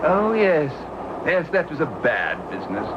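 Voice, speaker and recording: An actor playing a cold, disdainful Victorian gentleman who recounts his crimes in a precise, haughty manner in a radio drama. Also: fairly high pitch, sing-song, measured pace. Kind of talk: radio drama narration and acting. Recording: dull lo-fi old radio recording with loud hiss.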